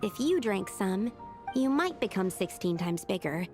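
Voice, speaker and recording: A woman voicing a curious young female alchemist in a video game, explaining in a thoughtful, measured way. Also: mid-range voice, very animated, a little mellow, soft background music.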